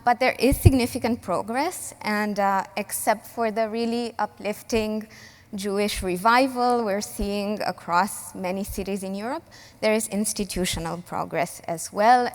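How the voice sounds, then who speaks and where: A woman from Romania speaking as a civil society panelist in a panel discussion, earnest and measured, with frequent pauses and fillers. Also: mid-range voice, big-room sound.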